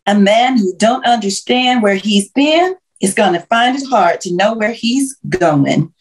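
A woman playing a wise, folksy older woman giving sage advice in slow proverbs. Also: mid-range voice, lively intonation, lightly acted. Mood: sage, encouraging.

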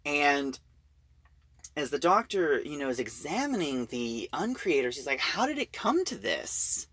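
A reviewer talking casually through a plot, halting and repeating phrases. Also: medium pitch, very animated, fairly bright tone, husky, dry recording.

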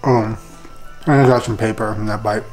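A man's voice: lowish voice, fairly even intonation, normal speed, a little mellow. A young man joking around as he cooks in his home kitchen, in casual, goofy banter. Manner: joking, playful, teasing. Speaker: vlogger cooking at home. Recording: roomy kitchen with music behind.

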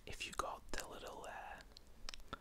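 A man in his thirties with a Northern Irish accent, whispering a rambling, self-deprecating ASMR guide close to the mic. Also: slow. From Northern Ireland. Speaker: ASMR host. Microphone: close-up mic with wind pops.

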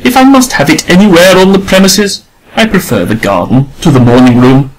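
A man doing a shrill, outraged lady of the house. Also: expressive, a little fast, poor audio. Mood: indignant. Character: indignant shrill middle-aged lady of the house.

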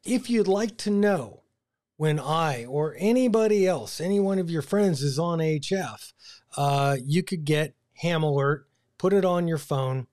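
An American man in his fifties giving a casual, halting explainer to camera as a video host, thinking aloud in an informal way. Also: on the high side, darkish tone. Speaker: ham radio video host. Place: small home radio room, indoors. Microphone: desk broadcast microphone on boom arm.

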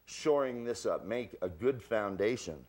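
A man's voice: on the low side, lively intonation, unhurried, darkish tone. A man in his sixties, a ski boot fitter, explaining his gear with props in a folksy, jokey way on a morning TV show set. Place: studio kitchen set.

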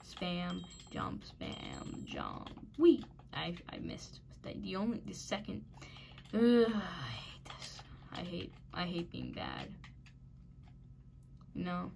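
A woman talks slowly through her gameplay, her pitch swinging widely while she mocks her own mistakes. Her bright voice drops into gravelly fry and turns a little raspy at times. A faint hiss of noise runs behind her. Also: wildly swooping pitch. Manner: self-mocking, frustrated, muttering. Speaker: gaming channel host. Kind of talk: casual gameplay commentary.

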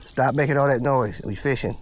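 A man's voice: mid-range voice, brisk, very noisy. A man in his forties, a father filming a casual vlog and coaching his young son while fishing by a pond. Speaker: father and vlogger. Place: outdoors by a pond.

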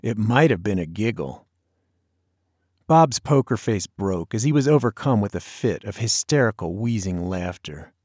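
A man's voice: fairly low pitch, lively intonation, normal speed, a little mellow, breathy edge, dry recording, clean studio sound. A man narrating a novel in a scripted storytelling style. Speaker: audiobook narrator.

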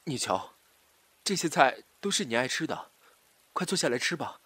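An actor playing an obsessive, scheming jilted lover in a Chinese audio drama, swinging from soft pleading to taunting menace. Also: male voice, medium pitch, animated, normal speed, breathy edge, no echo. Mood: plaintive coaxing.

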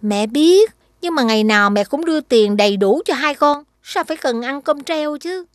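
A woman voicing a caring but gently firm young mother in a children's cartoon. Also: high-pitched, animated, normal speed, no room sound, studio quality. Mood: disapproving.

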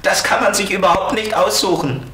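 A man's voice: sits high, fairly bright tone, a little hiss or hum. A man playing a friendly, knowing flying companion of a little girl in a 1970s German children's radio play. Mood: matter-of-fact. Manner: measured, explanatory.

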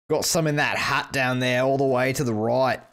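A man's voice: medium pitch, steady tone, unhurried, a bit bright, smooth. A man chatting casually as a gaming video creator, joking and swearing with friends.

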